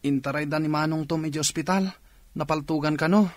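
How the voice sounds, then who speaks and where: A man's voice: a little high, fairly even intonation, brisk, no echo, lightly acted. Man acting earnest, worried Ilocano employee. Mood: pleading, worried. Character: worried, respectful male employee. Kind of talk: radio drama acting.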